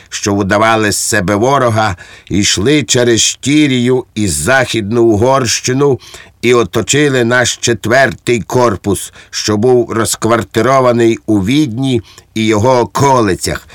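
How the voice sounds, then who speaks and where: A man's voice: fairly low pitch, fairly bright tone, dry recording, studio quality, voice pushed up. A man voicing an unflappable, naively obedient common soldier who rambles earnestly in an audiobook. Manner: earnest, untroubled, long-winded. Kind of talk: audiobook character acting.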